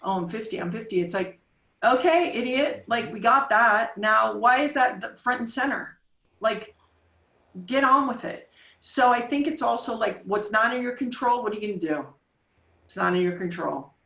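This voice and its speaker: A woman in her forties, a podcast guest, talking casually with emphatic, coaching punch and quick rhetorical questions. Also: a little high, vocal fry, fairly bright tone, distant mic. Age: 40s. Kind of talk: casual interview talk. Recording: roomy sound, voice off-mic.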